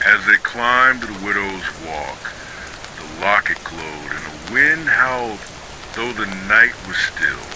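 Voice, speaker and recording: A man reading a scripted ghost story for sleep in a measured, dramatic storytelling manner. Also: fairly low pitch. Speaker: horror story narrator. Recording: steady rain noise behind voice. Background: rain falling.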